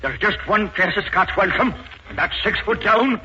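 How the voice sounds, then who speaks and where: A man's voice: high-pitched, animated, normal speed, sharp tone, heavily acted. An actor playing a bitter, crippled older Irish father, ranting combatively with an Irish accent in 1940s radio drama style. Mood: bitter, angry. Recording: dull, noisy 1940s radio recording.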